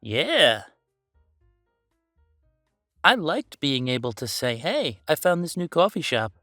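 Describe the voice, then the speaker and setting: A man's voice: on the high side, sing-song, slow pace, bright, forceful tone, no echo, clean studio sound, soft background music, exaggerated voice. A man playing a playful, easygoing young werewolf boyfriend in a casual roleplay audio, chatting in a teasing, emphatic way. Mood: warm, chatty.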